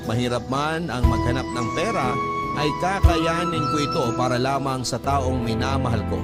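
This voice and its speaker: A man's voice: medium pitch, close to an everyday voice. An actor playing an earnest young man who confesses a troubled love affair in scripted first-person narration. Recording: music playing behind the voice.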